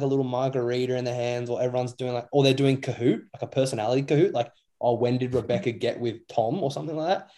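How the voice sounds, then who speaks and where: A man's voice: medium pitch, warm tone. A young Australian man co-hosting a podcast in casual chat, talking in a rambling, matey, slangy way. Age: young adult. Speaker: podcast co-host.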